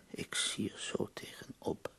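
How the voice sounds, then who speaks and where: An actor playing an ailing, melancholy but wry poet in a radio play, his voice gravelly, husky and weary. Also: a little slow, pushed and bright, in a whisper. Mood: weary, dejected.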